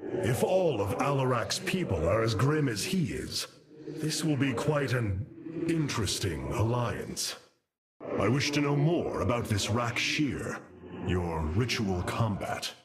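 A man's voice: medium pitch, sing-song, slow pace, very noisy. A man voicing a noble, solemn, resolute alien commander in a video game, speaking in a stately, formal way. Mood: urgent, wary. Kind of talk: video game cutscene acting. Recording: loud music behind the voice.

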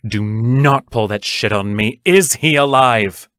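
A woman's voice: extremely low pitch, slow, a bit bright, dry recording, clean studio sound, exaggerated voice. A woman playing a terse, protective, anguished teacher in an audio drama, giving firm commands. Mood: stern, urgent. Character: stern, protective, anguished adult teacher facing fairies.

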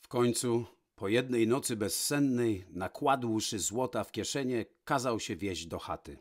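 A man narrating a fairy tale from a script, with measured, dramatic pauses. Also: medium pitch, expressive. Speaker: storyteller, narrator.

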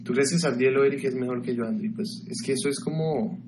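Young guy from ecuador on a webcam livestream, chatty and gossipy, mid pitch, noisy mic. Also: fairly quick. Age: young adult. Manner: chatty, gossipy, answers viewer questions. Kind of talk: casual chat with live viewers. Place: small bedroom, indoors. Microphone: webcam mic at desk.

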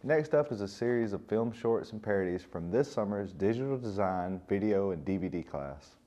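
Lower-pitched man hosting a show. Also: animated, moderate pace. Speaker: host. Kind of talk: scripted segment introduction.

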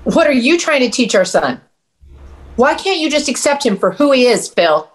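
A woman's voice: high-pitched, sing-song, moderate pace. A woman playing a firm, exasperated mother who protects her son, insistent in a script table read. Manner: confrontational, insistent. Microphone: video call.

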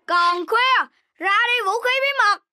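A woman's voice: extremely high pitch, a little slow, sharp tone, no echo, heavily acted. A woman with a Southern Vietnamese accent voicing a spirited, boastful, eager little boy animal in a children's cartoon. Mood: battle excitement.

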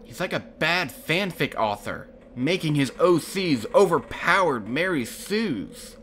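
A man's voice: on the high side, big pitch swings, measured pace, a bit bright, slight background noise. A man voicing a jaded, sarcastic young adventurer in a cartoon parody, with a dry, casual manner. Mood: sardonic.

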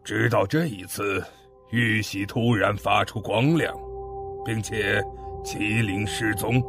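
A grave, commanding father played by a man speaks in a fan audio drama set in ancient times. His voice sits low and his words come very slowly and heavily. Soft music plays behind him. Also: steady tone. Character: stern father of a young heir, ancient China.

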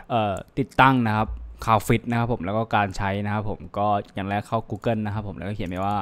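A man giving a casual step-by-step software tutorial into a computer microphone, ending nearly every phrase with polite particles. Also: lowish voice. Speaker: tutorial host. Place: indoors at a computer, voice over screen capture.

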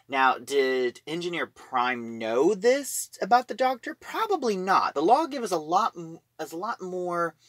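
A man giving a casual spoken review and plot retelling, in a halting manner, piecing phrases together as he goes. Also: medium pitch, a bit bright, no echo. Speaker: audio drama reviewer.

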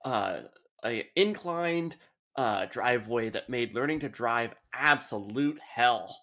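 A man's voice: medium pitch, animated, slow, bright, forceful tone. A man from Montana introducing himself as a contestant with dry humor on a video call. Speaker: game show contestant. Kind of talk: casual self-introduction.

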